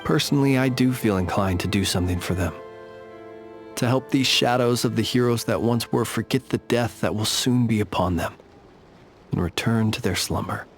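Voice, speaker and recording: Man voicing a courtly, low-voiced keeper of the dead. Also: faint music behind, close to an everyday voice. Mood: gentle, courteous. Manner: formal, eloquent, measured. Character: solemn, courtly, gentle lantern-bearing keeper of the dead. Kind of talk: scripted video game voice acting.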